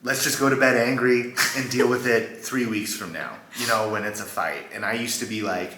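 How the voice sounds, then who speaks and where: A man's voice: mid-range voice, fairly even intonation, fairly quick, bright, forceful tone, roomy sound, rough recording. A young man chatting casually with his partner about their relationship, laid-back, with many fillers like "you know" and "like". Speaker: co-host of a couple's channel. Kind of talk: casual couple chat, storytime.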